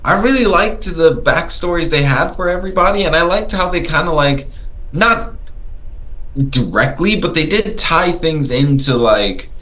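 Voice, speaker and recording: A man's voice: moderate pace, low-quality recording. A man from Tanzania co-hosting a casual movie discussion, hesitant and repeating words as he thinks. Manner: hesitant, repeats words, lively rises in pitch. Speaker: podcast co-host.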